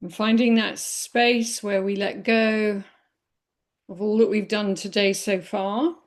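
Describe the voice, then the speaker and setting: A woman leading a guided body scan meditation in a gentle, unhurried manner with long pauses. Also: medium pitch, steady tone. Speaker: meditation teacher.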